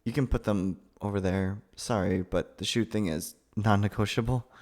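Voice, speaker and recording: A low-pitched male actor as a nervous young roommate in an intimate ASMR roleplay. He stammers and trails off, gentle and awkward. His rambling is geeky and self-deprecating. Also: measured pace, natural voice. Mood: flustered, apologetic.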